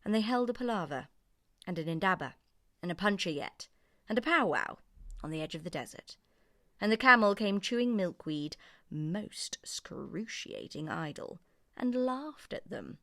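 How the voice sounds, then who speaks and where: A woman's voice: mid-range voice, expressive, normal speed, bright, forceful tone, no room sound, studio quality. A woman narrating a children's story expressively from a script.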